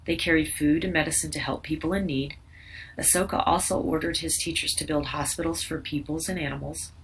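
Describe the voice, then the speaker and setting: Female read-aloud narrator, low pitch, scripted educational delivery for children, recorded at a distance with slight background noise. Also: fairly bright tone.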